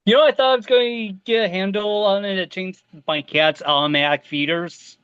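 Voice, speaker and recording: A man co-hosting a podcast in a rambling, hesitant way with a dry humor. His voice sits a bit high and smooth, but his mic sounds slightly dull and lo-fi, close and dry. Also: steady tone, moderate pace, no echo. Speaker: podcast co-host. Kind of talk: casual chat.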